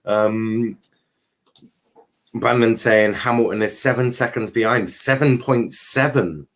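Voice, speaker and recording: A man's voice: mid-range voice, steady tone, slow pace. A man hosting a livestream watchalong, giving casual race commentary with informal, sweary, joking asides.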